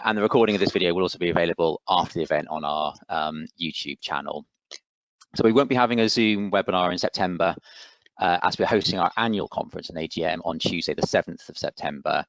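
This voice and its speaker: Low-pitched man hosting a webinar. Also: a bit bright, no echo. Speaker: webinar host. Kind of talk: hosting an online webinar, closing announcements. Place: online video call. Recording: dull, dry online call audio.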